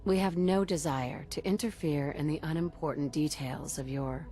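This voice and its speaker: Lowish-voiced, composed heroine. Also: female voice, normal speed, warm tone, faint music behind. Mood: solemn, proclaiming. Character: composed, diplomatic heroine, incoming director of a secret institute.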